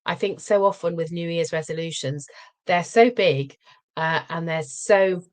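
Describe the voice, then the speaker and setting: Low-pitched woman, slightly croaky, thoughtful podcast co-host. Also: unhurried, darkish tone. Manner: thoughtful, with many ums and restarts. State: slightly croaky after a cold. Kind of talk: casual chat.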